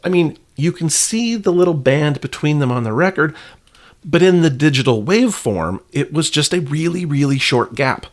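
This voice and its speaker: A man's voice: fairly high pitch, expressive, normal speed. A man hosting a podcast with casual, partly scripted commentary in a playful, self-deprecating manner. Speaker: podcast host.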